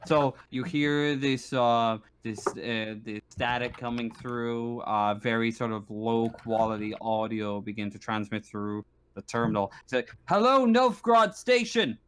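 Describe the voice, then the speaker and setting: Halting male game master. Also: lowish voice, level tone, a little hiss or hum. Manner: halting, searching for words. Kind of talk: improvised tabletop game narration.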